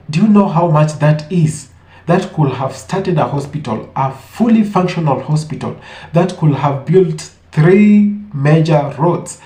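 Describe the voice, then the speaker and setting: A man's voice: medium pitch, darkish tone. A man giving opinionated commentary, speaking emphatically and posing rhetorical questions. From Africa. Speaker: online commentator. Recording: echoey room.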